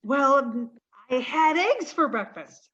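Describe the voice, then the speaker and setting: A woman's voice: high-pitched, lively intonation, slow pace, a bit bright, dull audio, exaggerated voice. A woman playing a naive, earnest, scatterbrained young woman in 1940s-style radio comedy. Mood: flustered, innocent. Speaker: actress in theatre company.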